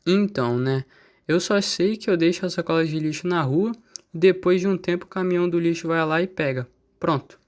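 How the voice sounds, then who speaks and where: Slangy Brazilian schoolboy played by an amateur actor in a radio play: laid-back, casual, on the high side. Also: male voice, fairly quick, close to an everyday voice. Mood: unbothered. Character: laid-back male student, slangy, unbothered. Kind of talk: scripted amateur radio drama.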